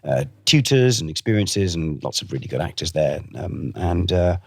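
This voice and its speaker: Low husky man. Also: sing-song, a little mellow, no echo. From Wales. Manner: chatty, self-deprecating, halting restarts. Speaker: guest voice actor. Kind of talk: casual interview chat.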